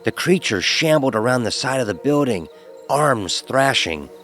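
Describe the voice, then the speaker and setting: Wry male horror narrator, medium pitch. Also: very animated, normal speed, a bit bright, soft background music. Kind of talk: first-person horror story narration.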